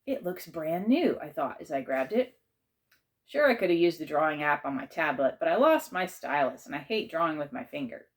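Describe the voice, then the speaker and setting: A woman narrates a children's storybook in a fairly low register. Her delivery is animated and dramatized, with pitch that moves freely as she reads in a child's first person. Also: a little fast. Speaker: author and teacher reading aloud.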